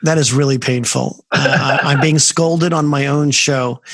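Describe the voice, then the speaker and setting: A man's voice: mid-range voice, fairly even intonation, unhurried, fairly bright tone, dry recording. A man in his fifties hosting a podcast interview over a video call, talking in a friendly, rambling way with lots of fillers and restarts. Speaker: podcast host. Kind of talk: casual interview chat.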